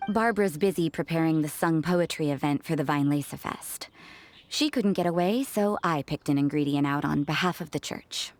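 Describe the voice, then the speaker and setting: A woman's voice: low-pitched, moderate pace, no echo, lightly acted. A woman playing a cool, aloof young church sister, curt and laconic, in an anime game.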